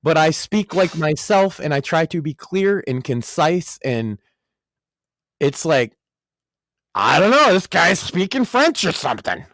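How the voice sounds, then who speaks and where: A man's voice: fairly high pitch, sing-song, a little slow, a bit bright, dry recording. A man from Massachusetts with a light Northeastern accent, co-hosting a casual podcast and joking profanely. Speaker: podcast co-host. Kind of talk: casual chat.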